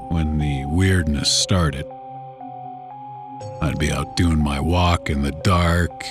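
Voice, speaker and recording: A man tells a first-person horror story in a casual, folksy, unhurried, conversational way, like someone recounting his own memories. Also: rumbling low. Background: background music.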